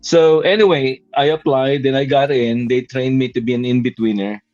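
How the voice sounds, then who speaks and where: 필리핀 억양의 50대 남성이 인터뷰에서 머뭇거리며 "음", "어" 같은 말을 자주 섞어 가며 차분히 답합니다. 목소리는 중간 높이이고, 울림 없이 건조하며 다소 먹먹한 저음질로 녹음되었습니다. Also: male voice, mid-range voice, fairly even intonation, moderate pace, darkish tone, no echo, faint music behind. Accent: Filipino. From Philippines. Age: 50s. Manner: hesitant, many fillers and restarts, easy laughs. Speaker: guest, animation artist. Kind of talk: casual interview answers. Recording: dull lo-fi dry sound.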